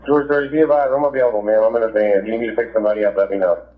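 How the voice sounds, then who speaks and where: Rapid-talking policeman over tinny radio. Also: lowish voice, fairly even intonation. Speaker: police field officer.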